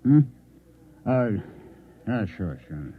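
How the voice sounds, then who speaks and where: A man's voice: on the low side, big pitch swings, drawn-out words, husky, full character voice. An actor playing a cagey, wry man drinking at a bar in a 1950s radio drama, on an old muffled recording.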